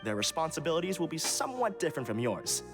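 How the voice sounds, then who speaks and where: A man's voice: on the high side, wildly swooping pitch, fast, music in the background. A man playing a polite, earnest academy official in an anime video game, speaking formally and courteously.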